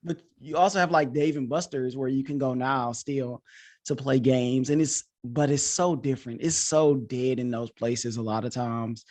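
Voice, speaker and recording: A man's voice: medium pitch, steady tone, moderate pace, darkish tone. A man hosting a podcast in a casual, informal chat, talking with enthusiasm like a coach. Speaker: podcast host; coach and teacher.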